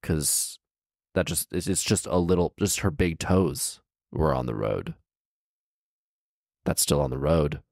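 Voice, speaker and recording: A man's voice: very deep voice, animated, measured pace, gravelly, pauses often, darkish tone, dry recording, studio quality. A man hosting a story podcast, giving casual comedic commentary in an incredulous, wry, slangy way with emphatic repeats. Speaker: podcast host reading and riffing on a fairy tale.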